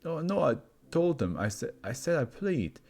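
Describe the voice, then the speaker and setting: A man's voice: fairly high pitch, very animated, moderate pace, a little mellow, pitched above the performer's own voice. A man voicing a volatile condemned prisoner who is coming unravelled, speaking with agitated intensity in a story reading. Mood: distressed.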